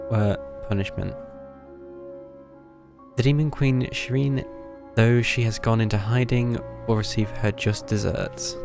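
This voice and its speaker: Low, creaky British man streaming a game. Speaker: game livestreamer. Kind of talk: casual live gameplay commentary, thinking aloud. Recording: game music behind the voice. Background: video game music.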